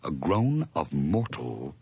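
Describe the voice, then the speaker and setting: A sly, obsessive madman in a gothic radio drama. The voice is low and very husky, often close to a whisper. He is theatrical and slow, savoring words with gloating relish. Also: big pitch swings, darkish tone, dry recording, full character voice. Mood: brooding, morbid. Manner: theatrical, deliberate, lingering on repeated words.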